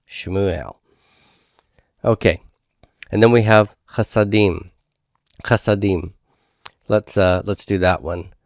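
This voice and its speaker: Slow, low-voiced man teaching patiently. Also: no room sound, studio quality. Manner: patient, step-by-step explaining. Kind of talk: homework review lecture.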